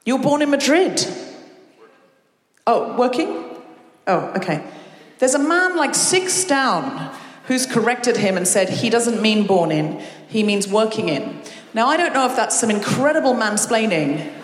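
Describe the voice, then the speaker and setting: A woman hosting a live comedy show on stage, teasing and chatting quickly with the audience. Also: a little high, lively intonation. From Australia. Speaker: comedy podcast host. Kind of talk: live stand-up crowd work. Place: large concert hall with live audience. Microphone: stage microphone. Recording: roomy hall with long reverb.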